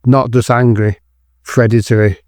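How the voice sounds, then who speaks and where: A man's voice: mid-range voice, level tone, unhurried, a little mellow, dry recording, studio quality. A man narrating a scripted dramatic story as the narrator of an audio drama.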